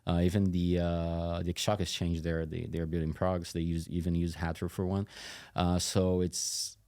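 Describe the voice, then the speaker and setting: Male podcast guest, Brazilian-accented native Portuguese speaker. Flat, soft-edged delivery, conversational, with frequent searching pauses. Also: low-pitched. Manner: hesitant, pausing often mid-phrase. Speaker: podcast guest, company executive. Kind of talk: casual interview talk.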